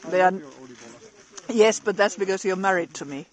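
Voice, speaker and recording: A woman's voice: mid-range voice, normal speed. A middle-aged woman live-streaming outdoors in a snowy forest, chatting casually with viewers and laughing often.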